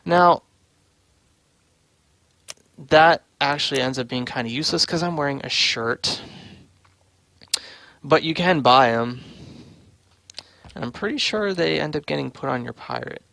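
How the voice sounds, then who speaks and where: A man's voice: a little high, measured pace, creaky, pauses often, bright, forceful tone, no room sound. A man giving casual, unscripted game livestream commentary, thinking aloud in offhand short fragments. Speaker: livestream host and game developer.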